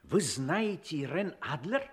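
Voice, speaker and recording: An actor playing an earnest, excitable English gentleman in a radio play, speaking politely, eagerly and with emphasis. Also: a little high, a little slow. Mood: polite, insistent.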